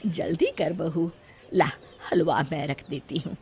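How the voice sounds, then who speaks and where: A woman voicing a gentle middle-aged mother-in-law in a family story, soothing and motherly as she keeps the peace. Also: low voice, unhurried, breathy edge, full character voice. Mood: kindly.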